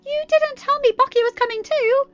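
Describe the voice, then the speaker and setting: A woman voicing an anxious, soft-hearted teenage boy with a hesitant, sincere manner in an audiobook story. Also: extremely high pitch, brisk, dull audio, faint music behind, voice pushed up. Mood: excited.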